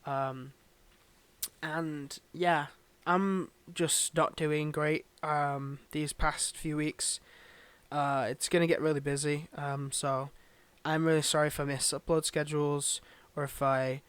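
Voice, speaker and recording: A man's voice: a little high, level tone, slow, a bit bright, clear, resonant tone, dry recording. A man giving a casual, unscripted update to his listeners as channel host, in a subdued, hesitant, rambling way. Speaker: ASMR voice performer and channel host.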